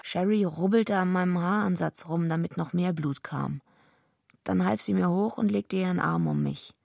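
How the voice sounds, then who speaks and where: Tough lady wrestler telling her story, really gravelly low voice, bitter, radio play. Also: moderate pace, darkish tone, dry recording, clean studio sound. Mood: subdued, weary. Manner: brash, foul-mouthed. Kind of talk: German radio drama, first-person narration and dialogue.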